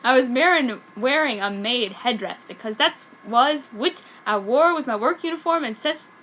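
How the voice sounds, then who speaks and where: High, bright-voiced woman reading fan fiction. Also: lively intonation, moderate pace, a little hiss or hum, low-quality recording. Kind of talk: dramatic amateur story reading.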